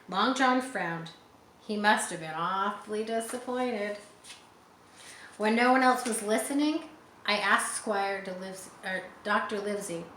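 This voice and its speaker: A woman's voice: medium pitch, fairly bright tone. Woman reading a storybook, echoey room. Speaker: storybook narrator and reader. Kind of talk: storytelling read aloud with simple explanatory asides for children. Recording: echoey room with faint background noise.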